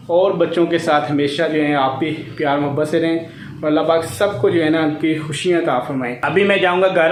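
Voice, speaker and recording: Higher-voiced man vlogging in an echoey space. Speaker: family vlogger. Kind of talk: casual vlog talk to camera. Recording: echoey room sound, clear background noise.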